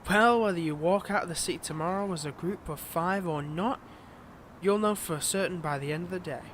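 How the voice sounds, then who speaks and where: High male voice, a man acting as possessive smug villain, theatrical taunting delivery, fantasy audio roleplay, clear outdoor ambient noise. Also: brisk, fairly bright tone. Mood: smug, threatening.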